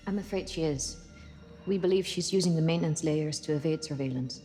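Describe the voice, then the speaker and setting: An actress playing a serious, composed spaceship officer in a video game, speaking in a measured, formal way. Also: female voice, low voice, mellow, natural voice. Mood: formal, tense. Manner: measured, formal, matter-of-fact. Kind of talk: cinematic video game acting. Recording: reverberant room, music underneath.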